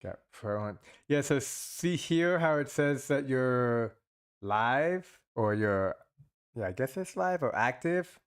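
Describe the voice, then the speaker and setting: A man's voice: medium pitch, expressive, slow, clear, resonant tone. A man giving casual, rambling commentary while playing mobile multiplayer games, thinking aloud with many restarts. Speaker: gaming channel host.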